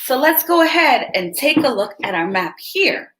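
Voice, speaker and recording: A woman reads a storybook aloud to little kids in a high, very lively voice, slow and teacherly, pausing to ask them questions. Her voice rings in a roomy echo. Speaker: children's story reader.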